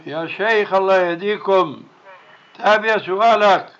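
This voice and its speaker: A man's voice: high voice, moderate pace, a bit bright. An older man, a religious scholar answering questions in a blunt, admonishing, insistent manner. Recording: muffled, noisy old cassette tape recording.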